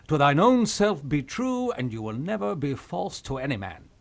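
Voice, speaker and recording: A man's voice: on the high side, sing-song, moderate pace, vocal fry. A man playing a pompous old father handing out fussy, sententious advice in a theatrical Shakespearean puppet play. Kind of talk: theatrical Shakespearean stage acting for puppet show.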